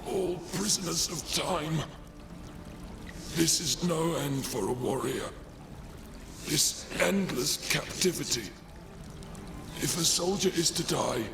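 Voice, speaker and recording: An actor playing a weary, despairing old soldier in a game cutscene, speaking in slow, halting, solemn, breathy phrases. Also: male voice, fairly high pitch, exaggerated voice. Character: weary aged soldier, imprisoned thirty years, despairing. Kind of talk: dramatic video game cutscene acting. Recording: long reverb, loud music behind.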